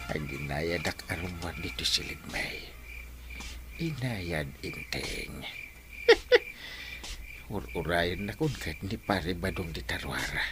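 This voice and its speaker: A man's voice: sits low, animated, slow, pauses often, music in the background. An actor playing a sneaky, flustered husband who fears his wife, stammering and exaggerated, in Ilocano-accented radio comedy.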